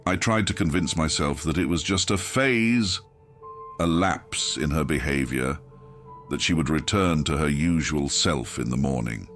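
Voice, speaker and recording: A man's voice: very deep voice, fairly bright tone. A man narrating a grimdark horror audiobook in a grave, brooding, dramatic first-person manner.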